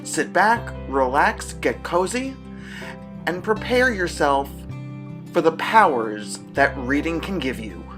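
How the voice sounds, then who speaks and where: A man hosting a children's storytime, reading aloud with deliberate, theatrical emphasis. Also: a little high, expressive, music in the background. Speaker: storytime host and reader. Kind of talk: expressive read-aloud narration for children. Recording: echoey room with faint noise.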